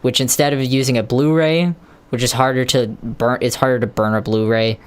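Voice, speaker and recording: A man's voice: mid-range voice, fairly even intonation, moderate pace, clean tone. A man casually rambling on a live stream, hesitating with lots of ums and restarts while explaining tech steps to viewers.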